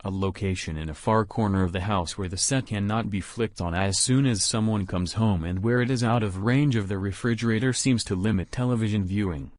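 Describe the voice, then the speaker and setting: A man reading a practice passage aloud for an exam, with careful, even delivery. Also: lowish voice, fairly quick, few pauses, no echo, clean studio sound. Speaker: model reader for exam practice.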